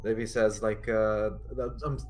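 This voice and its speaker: Male tabletop player: slightly low voice, very slow, halting, casual improvised description. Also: little pitch movement, darkish tone, soft background music. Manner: halting, tentative. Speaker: player in a tabletop roleplaying game. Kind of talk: casual improvised description of his character's actions.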